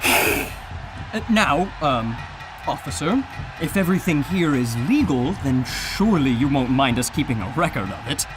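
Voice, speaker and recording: An actor playing a bookish, quick-witted adventurer in an audio drama, articulate and reasoning. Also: male voice, mid-range voice, animated, over music, close to an everyday voice, rough recording. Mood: careful, reasoning.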